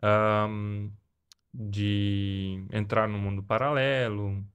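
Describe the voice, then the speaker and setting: A man's voice: fairly low pitch, little pitch movement, extremely slow, fairly bright tone, clean tone. A man in his thirties telling a personal parenting story in a casual podcast chat, earnest and reflective. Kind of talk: casual conversation.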